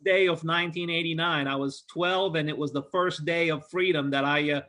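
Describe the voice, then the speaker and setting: A man's voice: on the high side, steady tone, normal speed, pushed and bright. A man in his forties from Romania, a lawyer guest answering interview questions earnestly with frequent hesitations. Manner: earnest, hesitant with many fillers.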